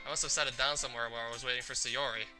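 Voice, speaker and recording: A game player reading a visual novel's narration aloud in an expressive storytelling style. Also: medium pitch, racing, over music.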